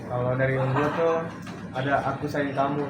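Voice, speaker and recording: A man's voice: medium pitch, fairly even intonation, a little fast, recorded from a distance, very noisy. Young man asking questions in an echoey room. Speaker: podcast guest. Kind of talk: casual chat.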